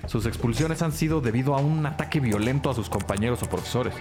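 A man's voice: mid-range voice, fast, natural voice. A man playing a police team member who reports factually on a suspect, in an audio drama. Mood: matter-of-fact. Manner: factual briefing. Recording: clear background music under voice.

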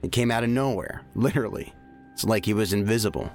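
An actor playing a hardened, blunt federal field agent in an audio drama, serious in manner. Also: male voice, on the low side, fast talker, faint music behind, lightly acted. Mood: defensive.

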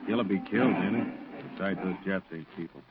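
A tough, world-weary Marine sergeant acted by a man speaks low, in terse slangy commands. The vintage radio drama recording is tinny and buried in heavy noise. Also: fairly quick, natural voice. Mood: weary, hardened. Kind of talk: 1940s radio acting. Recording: very noisy, tinny old radio broadcast.

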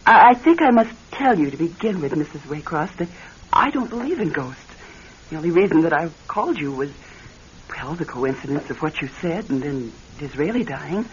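An actress playing a skeptical, high-strung married woman in an old radio drama, halting and emphatic when upset. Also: very animated. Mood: guarded, challenging. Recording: muffled, noisy vintage radio recording.

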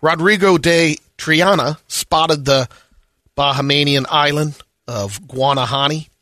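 Bright, matter-of-fact news-reading man. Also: a little high, takes it slowly, no room sound, clean studio sound. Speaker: radio co-host reading news items. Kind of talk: news-item reading on a comedy show.

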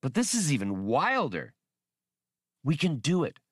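A man voicing a brash, cocky male starship captain who talks fast and excitably. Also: fairly high pitch, dry recording, clean studio sound, voice pushed up, exaggerated voice. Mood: persuasive.

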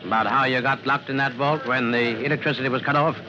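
A man's voice: mid-range voice, steady tone, quick pace, lightly acted. An actor playing a seasoned, fatherly fire captain with a dry, commanding manner in an old-time radio drama. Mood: dry, patient. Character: seasoned fire captain, gruff, fatherly, steady under danger. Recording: muffled, noisy old radio drama recording.

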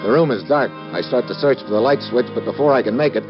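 An actor playing a wisecracking, suspicious American government agent in a vintage radio detective drama, slangy and sardonic. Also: male voice, mid-range voice, quick pace, lightly acted. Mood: wry narrating. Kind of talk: 1950s radio detective drama, first-person narration and dialogue. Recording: dull vintage radio recording, music behind.

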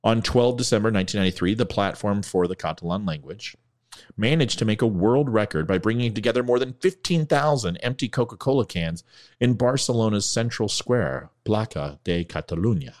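A man's voice: fairly low pitch, animated, fairly quick. A man reading out facts in a commentary video, adding offhand jokey asides and stumbling over foreign names.

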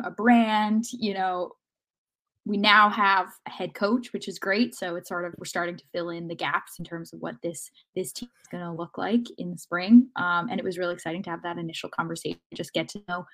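A woman's voice: fairly low pitch, fairly bright tone, low-quality recording. A woman co-hosting a sports podcast, talking casually in an articulate, explanatory way. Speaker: podcast co-host and interviewer.

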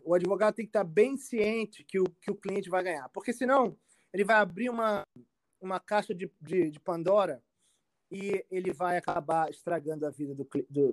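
A man with a Brazilian accent answering informally as an expert, halting and repetitive, often checking he is understood. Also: high voice, dry recording. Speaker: immigration expert being interviewed. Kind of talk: informal explanatory interview answers.

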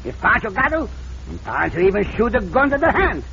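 Radio western sidekick, Mexican accent, simple-hearted and excitable, very animated creaky delivery, dull hissy vintage recording. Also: male voice, high-pitched, brisk, heavily acted. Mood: boastful. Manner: broken English, calls himself by name, boastful. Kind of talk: old-time radio western acting.

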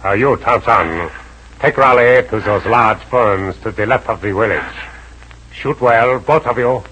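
Composed jungle fighter, low but bright forceful voice, formal, vintage radio serial with faint noise. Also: male voice, measured pace. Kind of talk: 1940s radio acting. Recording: noisy old radio recording.

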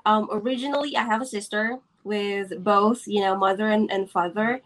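A woman's voice: mid-range voice, fairly even intonation, moderate pace, a bit bright, low-quality recording. A woman from the Philippines, a business owner being interviewed, hesitant with many fillers and restarts, over a video call. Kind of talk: casual interview.

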